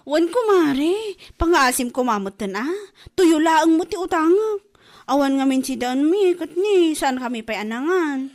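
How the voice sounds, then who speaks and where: A woman's voice: sits high, expressive, a little slow. A woman acting a brazen village wife who borrows on credit, nagging and pleading in a brash way in a comedy drama.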